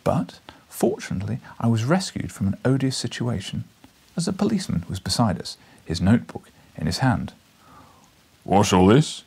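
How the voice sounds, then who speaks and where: A British man in his thirties, an audiobook reader with an expressive, informal delivery. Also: mid-range voice, fairly quick, gravelly, husky. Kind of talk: expressive story narration and casual address. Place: small book-lined living room, indoors.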